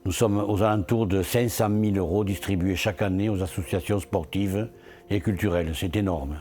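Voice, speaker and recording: A man with a somewhat low-pitched voice speaks as an elected official presenting local achievements. His manner is earnest and rhetorical, as in a promotional interview. Soft music plays faintly behind him. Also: fairly even intonation, normal speed.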